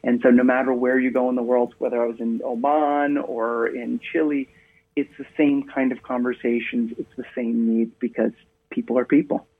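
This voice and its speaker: A man's voice: medium pitch, flat delivery, moderate pace, husky. A man from Maine, a guest speaker, chatting in an upbeat storytelling way over a remote line in a radio interview. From Maine, USA. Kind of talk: casual interview conversation. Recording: tinny phone line.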